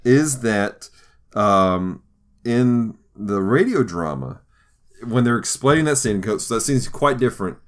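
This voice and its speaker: A man's voice: mid-range voice, animated, takes it slowly. A man hosting a podcast, chatting casually in a jokey, easygoing conversational style. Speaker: podcast host. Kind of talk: casual chat.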